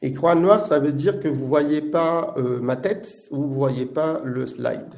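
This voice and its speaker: A man from Italy speaking with an Italian accent, presenting a live webinar as a product manager in a halting delivery full of filler sounds. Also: fairly high pitch, vocal fry, a little mellow.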